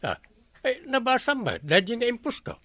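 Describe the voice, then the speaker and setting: A man's voice: extremely high pitch, sing-song, moderate pace, pushed and bright, dry recording, heavily acted. A man playing a blustery, vain village captain, comic and wheedling, in a radio drama. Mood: self-pleased. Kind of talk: comedy radio drama acting.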